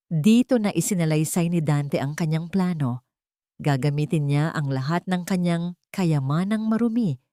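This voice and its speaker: Low-voiced Filipino woman narrating a story. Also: dark tone, no echo, clean studio sound. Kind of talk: scripted dramatic narration of a romance drama story.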